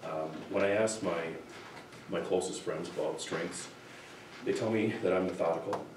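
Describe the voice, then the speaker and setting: A man introducing himself from notes as a candidate, in a measured way. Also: low-pitched. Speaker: school board candidate. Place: indoor public board meeting, far microphone. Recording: noisy echoey room, distant microphone.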